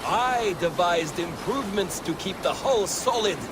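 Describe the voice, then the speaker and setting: A man's voice: sits high, expressive, unhurried, noisy background. An actor playing a proud, self-important Norse shipbuilder telling tales of his own genius in a video game. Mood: boastful. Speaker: game character. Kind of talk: theatrical storytelling game dialogue.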